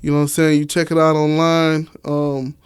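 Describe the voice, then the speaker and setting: A man's voice: fairly high pitch, completely flat delivery, moderate pace, smooth, dry recording. Male American interview guest, clean resonant tone, casual street-talk delivery, dry sound. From Miami, Florida, United States. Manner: emphatic, colloquial, peppers talk with "you know" and "man". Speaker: interview guest, author and stage play director. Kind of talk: casual interview chat, slangy.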